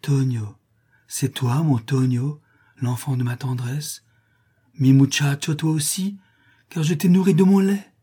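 A man's voice: mid-range voice, steady tone, slow pace, mellow, slightly rough. A man voicing a passionate, tender Mexican mother in a storybook, exclaiming effusively and full of emotion. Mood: overcome, tender. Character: overjoyed, tearful Mexican mother, passionate former wet nurse.

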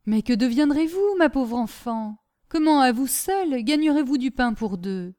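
Woman reading as a kindly, fatherly gentleman. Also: high-pitched, animated, normal speed, pitched above the performer's own voice. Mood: concerned. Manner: paternal, kind, concerned. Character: kindly gentleman master of the house, fatherly, concerned. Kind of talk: audiobook character acting.

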